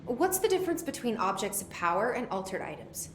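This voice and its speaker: A woman's voice: medium pitch, very animated, a little fast, roomy sound, slight background noise, natural voice. An actress playing a guarded, determined young woman in a video game, speaking in a terse, matter-of-fact way. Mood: guarded, businesslike. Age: young adult. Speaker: game protagonist. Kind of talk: video game dialogue acting.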